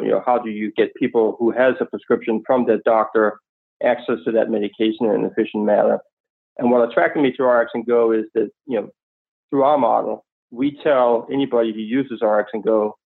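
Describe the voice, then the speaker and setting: A man's voice: medium pitch, vocal fry, slightly rough. A Danish-accented man speaks as a podcast guest, easy and fluent, padding his thoughts with "you know". His voice arrives narrow and tinny, like a phone line. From Denmark. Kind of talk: podcast interview.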